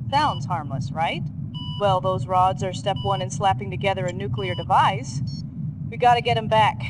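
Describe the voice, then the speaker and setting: Guy acting young game pilot on the radio, high voice, clipped and casual, noisy vehicle rumble. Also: far from the mic, natural voice. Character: brisk, informal young male military pilot giving field orders. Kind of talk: radio mission briefing, video game acting.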